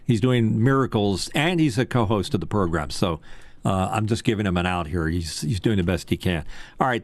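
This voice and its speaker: Man, fairly low pitch, close dry mic, easygoing co-host who rambles and jokes at his own expense. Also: no echo. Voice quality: deep voice with strong upper mids. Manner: loose, rambling, self-deprecating joker. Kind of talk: casual tech talk show chat.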